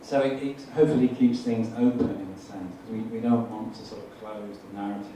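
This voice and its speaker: A man's voice: lowish voice, steady tone, a little fast, warm tone. A man, a film director, answering audience questions at a festival screening and hesitating often. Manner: hesitant, many fillers and restarts. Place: cinema hall. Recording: echoey hall, distant mic, noisy.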